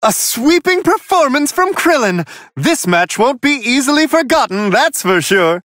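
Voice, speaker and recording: Serene angel attendant, high airy male voice, courtly, wildly melodic, leisurely pace, theatrical game commentary. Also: big pitch swings, measured pace, fairly bright tone, no room sound, clean studio sound, exaggerated voice. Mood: impressed, courtly. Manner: polite, flowery, genteel phrasing. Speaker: fight commentator.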